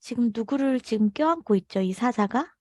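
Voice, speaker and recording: A woman's voice: fairly high pitch, lively intonation, racing, darkish tone, no room sound, studio quality. A woman reading a picture book aloud to children as a storytime host, leaning on key words as she tells it. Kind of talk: expressive picture-book reading with short asides to young listeners.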